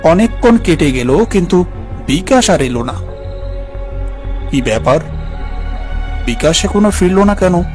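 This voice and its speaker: A man's voice: high voice, expressive, a little slow, long pauses, music in the background. A man narrating a scripted horror story in the first person.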